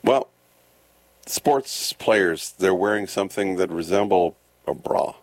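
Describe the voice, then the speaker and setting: A man's voice: deep voice, animated, takes it slowly, no room sound. A man hosting a morning radio show, chatting loosely with jokes and rambling asides. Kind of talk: casual morning show banter. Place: radio studio.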